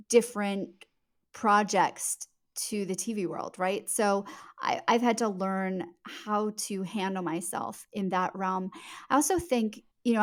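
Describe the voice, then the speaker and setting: A woman chats as an author guest on a podcast. Her voice sits at a middle pitch. She explains in an articulate, conversational way and puts emphasis on the words that matter.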